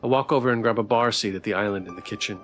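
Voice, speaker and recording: A man's voice: fairly low pitch, racing, a bit bright. Male game streamer reading story narration aloud, music underneath. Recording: background music.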